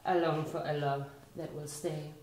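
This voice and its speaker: Danish-accented woman, poet at a live reading, lowish voice, slow rhythmic delivery with soft line endings. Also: flat delivery, echoey room. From Denmark. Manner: slow, rhythmic recitation that sinks quieter at line ends. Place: art and culture community venue.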